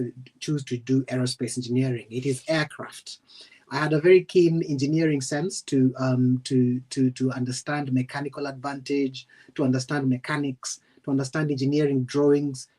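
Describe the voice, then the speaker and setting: A man speaks as a guest in a conversational interview. His voice is mid-pitched. He is polite and measured, pausing and hesitating between phrases as he explains. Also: a little mellow. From Western Province, Kenya. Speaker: guest, engineer and ex-serviceman.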